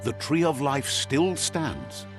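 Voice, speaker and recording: A man narrating a video game in a measured storytelling style, relaying what the characters say. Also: on the high side, sing-song, music in the background.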